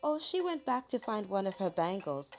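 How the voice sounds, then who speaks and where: A woman's voice: medium pitch, big pitch swings, moderate pace, a little mellow, faint music behind, voice pushed up. A woman voicing mischievous young village girls in a children's folk tale, with a teasing manner. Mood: evasive.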